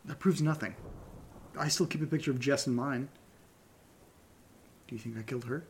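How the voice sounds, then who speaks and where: A man's voice: mid-range voice, normal speed, slight background noise, lightly acted. A man playing a skeptical, bossy, sensible amateur investigator with a blunt, matter-of-fact delivery in an audio drama. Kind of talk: naturalistic horror-comedy audio drama dialogue.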